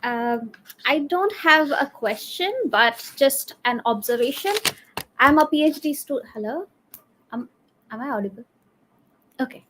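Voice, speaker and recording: A woman doctoral student offering a measured, tentative remark at an academic workshop. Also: high-pitched, fairly bright tone, slight background noise, low-quality recording. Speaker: audience member, doctoral student.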